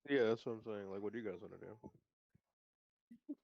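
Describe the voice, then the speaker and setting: A man's voice: on the low side, unhurried, creaky, dry recording, dull audio. A man chatting casually as a player in a tabletop roleplaying game.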